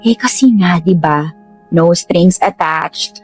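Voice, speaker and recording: A woman's voice: lowish voice, slow, faint music behind. A woman playing a dramatic, exasperated young adult daughter with a Filipino accent, emphatic and slangy, in a radio drama. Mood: indignant.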